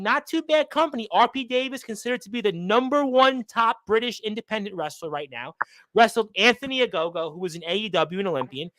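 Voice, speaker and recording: A man's voice: high voice, bright, forceful tone, no echo, rough recording. A man hosting a wrestling talk show and interviewing a guest, rambling and effusive, often repeating himself. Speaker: radio show host.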